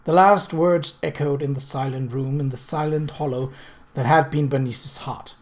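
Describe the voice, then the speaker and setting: A man reading fiction aloud as a narrator, in a deliberate, dramatic manner. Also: mid-range voice, fairly even intonation. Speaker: audiobook reader.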